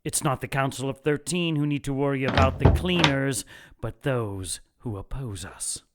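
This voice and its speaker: An actor playing a ruthless religious zealot who leads a secret council, speaking in a measured, imperious, menacing way for an audio drama. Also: male voice, mid-range voice, heavy background noise, natural voice. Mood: cold authority. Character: cold ruthless male church zealot leading a secret council.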